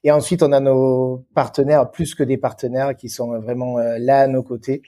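A man's voice: medium pitch, level tone, normal speed, dark tone. A man with a regional French accent giving conversational explanations as an interview guest, searching for words and repeating key phrases. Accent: French, non-Lyon regional. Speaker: interview guest, event venue professional.

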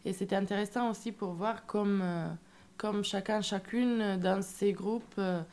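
A woman's voice: medium pitch, fairly even intonation, measured pace, a little mellow. Young woman, searching words. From Italy. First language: Italian. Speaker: band member, storyteller.

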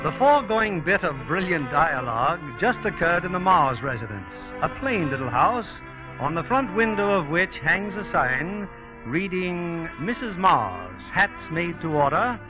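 A man's voice: sits high, very animated, measured pace. A man reading scripted narration as a 1940s radio announcer, polished and wry. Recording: dull 1940s radio recording over music.

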